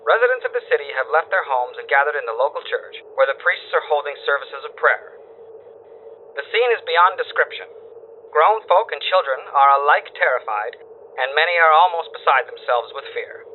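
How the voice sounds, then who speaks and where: An actor playing a doomed wire operator who reports horrors in solemn, theatrical, formal phrases through a radio filter, in a horror drama. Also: male voice, fairly high pitch, lively intonation, normal speed, slight background noise. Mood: grave reporting. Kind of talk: dramatic horror story dispatch reading. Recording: thin phone-like radio band. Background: music.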